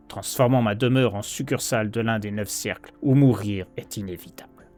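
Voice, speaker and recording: A man playing an anguished, guilt-ridden heir who narrates a horror poem in a solemn, theatrical, measured way. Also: mid-range voice, darkish tone, natural voice. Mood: dark vengeance. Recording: narration over prominent background music.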